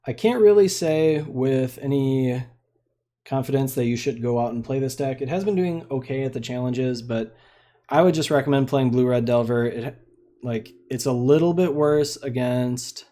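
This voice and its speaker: A young man talks through his card game in a relaxed, rambling way, full of fillers and half-finished thoughts. His voice is mellow and of middling pitch. Faint mouse clicks sit behind him. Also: normal speed. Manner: laid-back, rambling, lots of fillers. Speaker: streamer playing a card game. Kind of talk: casual gameplay commentary.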